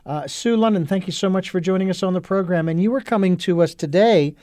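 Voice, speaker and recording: Male talk-radio host, slightly high-pitched, earnest and rambling, close dry mic. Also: fast talker, dark tone, dry recording. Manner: rambling, many ums and uhs, earnest. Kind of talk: casual talk radio chat.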